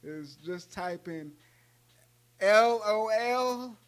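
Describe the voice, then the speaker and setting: A man of thirty with a high-pitched voice talks at an unhurried, slow pace. He co-hosts a casual podcast, jokey and loose with swearing, slipping into mock formality. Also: lively intonation. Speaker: podcast co-host. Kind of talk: casual chat.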